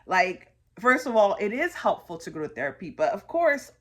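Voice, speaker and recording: A woman's voice: medium pitch, very animated, moderate pace. A woman in her thirties from the United States, talking through personal reflections in an earnest, conversational way with frequent fillers. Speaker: host sharing personal reflections.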